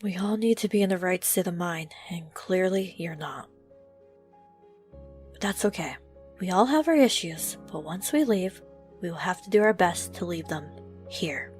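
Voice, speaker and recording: A woman playing a firm, blunt, level-headed team leader in an audio drama, speaking in a measured way over music. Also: fairly low pitch, expressive, a little mellow, close to an everyday voice. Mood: steadying. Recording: music bed behind the voice.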